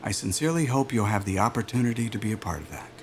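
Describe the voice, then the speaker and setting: A man's voice: lowish voice, expressive, rapid-fire, slight background noise, natural voice. An actor playing a chummy, persuasive aerospace executive interviewer in a cinematic audio drama, with a chatty, polished salesman manner. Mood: brisk, polished. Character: smooth, glib male aerospace executive recruiter, chummy and persuasive.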